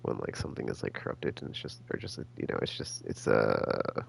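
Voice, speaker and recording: Super low voiced guy chatting over a game, really crackly fry, pitch moves around, rambles and thinks out loud, bit of hum behind. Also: lively intonation. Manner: halting, slangy, thinking out loud. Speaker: gaming streamer. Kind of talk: casual rambling game commentary.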